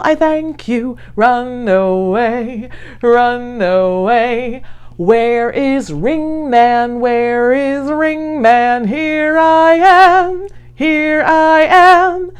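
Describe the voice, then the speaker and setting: A woman's voice: high-pitched, smooth. A woman hosting a children's read-along, singing kids' songs and introducing segments. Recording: guitar music behind. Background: acoustic guitar accompaniment.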